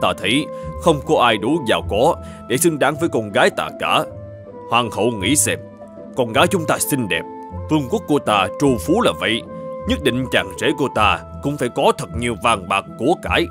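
A man's voice: mid-range voice, very animated, moderate pace, over music, lightly acted. A man playing a stern, greedy old king with an imperious manner in a children's cartoon. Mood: proud, greedy. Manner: imperious, commanding. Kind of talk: dubbed children's cartoon acting.

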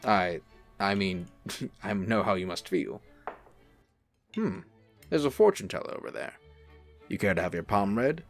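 A man acts out a suave angel in human form for an audio drama. He is courtly and playful, with a lively, swooping delivery and a creaky edge. Music plays behind him. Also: on the low side, a little slow, pauses often. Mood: charming, tender. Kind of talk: radio-play style dramatic acting.

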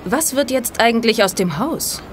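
A woman's voice: a little high, lively intonation, normal speed, slight background noise, close to an everyday voice. An actress playing a cool, businesslike woman who owns a company, in a German audio drama. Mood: cool, polite.

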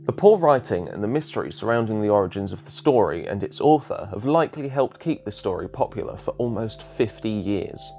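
A man narrates a scripted video essay. His voice sits a little low and moves with very animated intonation. Faint music plays behind him. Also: brisk, gravelly, hardly any pauses.

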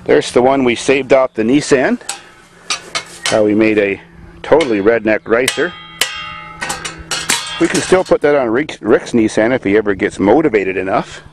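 A man giving a laughing, excitable running commentary from a handheld camera while driving a car on gravel farm roads and working on it outdoors. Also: medium pitch, moderate pace, pauses often. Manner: excitable, laughing, crude joking. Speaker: farm vlogger. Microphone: handheld camera mic. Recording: loud engine and road noise. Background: roaring engine.

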